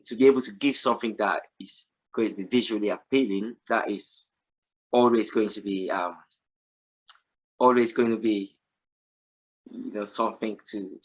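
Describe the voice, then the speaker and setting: A man's voice: on the low side, poor audio. A male radio host asks long, looping questions packed with ums and you-knows. He keeps encouraging his guest. His tone stays casual and chatty. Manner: encouraging, with rambling questions full of fillers. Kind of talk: casual interview chat.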